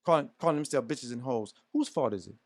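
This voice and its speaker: A man's voice: mid-range voice, expressive, quick pace, no echo. A man with an American accent in a heated podcast debate, emphatic and challenging, firing off repeated rhetorical questions. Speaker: podcast panelist.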